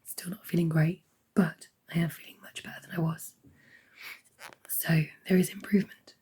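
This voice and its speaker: A woman giving a casual crafting update, hoarse and half-whispering as her voice fails. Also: on the low side, steady tone, normal speed, dark tone. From United Kingdom. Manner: half-whispered, croaky delivery. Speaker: crochet vlogger.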